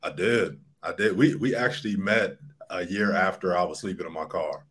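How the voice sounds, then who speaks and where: Deep-voiced low-key man guest. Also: moderate pace, slightly rough. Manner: relaxed, reflective, low-key. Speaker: guest business coach. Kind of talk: casual interview answers. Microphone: webcam video call.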